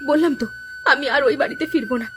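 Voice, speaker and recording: A woman's voice: high voice, wildly swooping pitch, racing, a bit bright, soft background music, heavily acted. A woman voicing a proud, stubborn but polite young village girl in a cartoon, plaintive and insistent. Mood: hurt, defiant. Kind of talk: cartoon voice acting.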